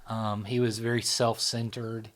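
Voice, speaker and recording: Middle-aged man, lowish voice, rambling casual chat, keeps asking right, you know. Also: level tone, a little slow. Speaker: psychology channel founder and host.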